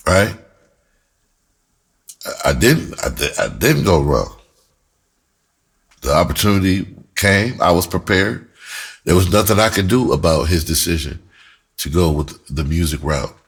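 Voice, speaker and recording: A man's voice: low voice, animated, measured pace, creaky, long pauses, breathy edge. A middle-aged American man telling a personal story casually and candidly, in a rambling, slangy way with explicit language. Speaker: storyteller, writer and music producer.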